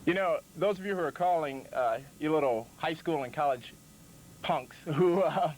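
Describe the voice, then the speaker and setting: A man's voice: on the high side, moderate pace. A man hosting a public-access wrestling call-in show in a matter-of-fact way, on an old TV tape. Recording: faintly noisy 1990s public-access TV tape.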